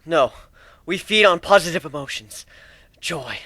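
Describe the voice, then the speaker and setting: A man's voice: a little high, expressive, a little slow, sharp tone, no echo, pitched above the performer's own voice, heavily acted. A man voicing a captive female insectoid shapeshifter drone, frightened but defiant, in a strained voice for a dramatic story reading. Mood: resigned, explaining.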